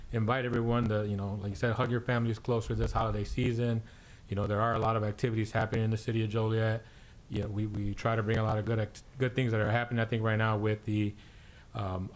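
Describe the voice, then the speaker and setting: A man's voice: fairly low pitch, a little hiss or hum. A man from Illinois giving rambling closing comments as a council member, full of fillers and restarts. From Illinois, USA. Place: city council chamber.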